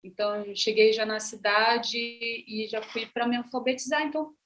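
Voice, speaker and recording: A woman's voice: a little high, fairly even intonation, fairly bright tone, a little hiss or hum. Hesitant woman from Maranhão, Brazil. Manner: unhurried, hesitant, frequent "né" tags. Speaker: artist and actress, panel speaker. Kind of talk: panel talk, personal account.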